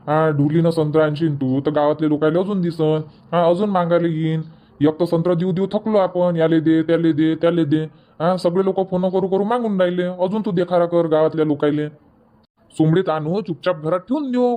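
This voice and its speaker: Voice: medium pitch, fairly even intonation, moderate pace, dark tone, close to an everyday voice. An actor voicing a grumbling, dismissive village farmer husband in a comedy cartoon, with a rural Vidarbha Marathi accent. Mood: grumbling, skeptical.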